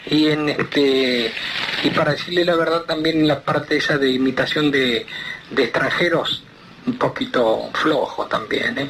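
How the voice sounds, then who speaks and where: A man's voice: medium pitch, steady tone, a little slow. A man with a Rioplatense Argentine accent in a casual phone conversation, rambling and hesitant in his phrasing. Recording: noisy phone call.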